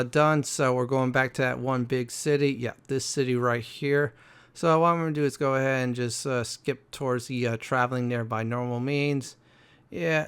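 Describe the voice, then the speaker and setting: Male walkthrough host, clear smooth voice, mid pitch, casual and rambling delivery. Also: fairly bright tone. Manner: rambling, halting, explanatory. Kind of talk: casual gameplay commentary.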